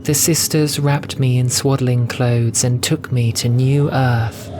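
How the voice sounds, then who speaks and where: A man voicing a gentle young female cat nun in an audio drama, soft and wistful, with earnest storytelling. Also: medium pitch, steady tone, slow pace, noisy background, pitched above the performer's own voice, close to an everyday voice. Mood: rueful, bitter. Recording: music bed under voice. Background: music.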